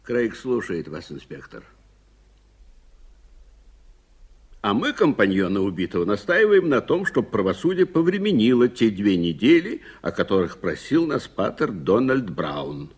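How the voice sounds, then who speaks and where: Husky, gruff old frontier businessman. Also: lowish voice, big pitch swings, moderate pace, few pauses, heavily acted. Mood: brusque, insistent. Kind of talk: Soviet radio play acting.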